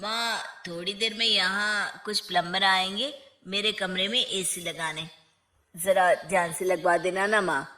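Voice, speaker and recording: Woman playing young married son, polite, mild, slow delivery, cartoon family story. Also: medium pitch, fairly even intonation, sharp tone, echoey room. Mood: polite, explaining.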